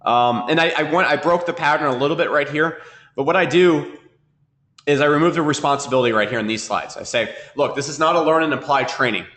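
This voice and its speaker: A man's voice: a little high, fairly bright tone. A man teaching a marketing webinar, sniffling from a cold and checking in with "okay?" again and again. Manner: fast, repetitive, keeps asking "okay?". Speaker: marketing trainer.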